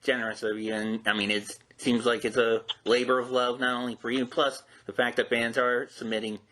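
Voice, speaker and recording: A man with a bright, forceful voice of average pitch hosts a casual interview show. He phrases things politely and somewhat tentatively, as an interviewer talking with a guest. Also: flat delivery, fairly quick, rough recording. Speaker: podcast host, interviewer.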